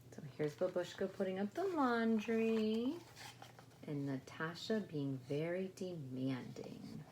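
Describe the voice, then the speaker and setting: A woman reading a children's picture book aloud, with expressive narration and casual explanatory asides. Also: fairly low pitch, slow. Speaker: storybook reader. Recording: faint background noise.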